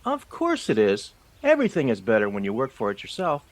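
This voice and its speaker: A man's voice: medium pitch, huge pitch swings, brisk. A man voicing a seasoned, practical field mouse mentor in an audio drama, instructive and matter-of-fact. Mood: instructive, firm. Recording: faint outdoor ambience with birdsong.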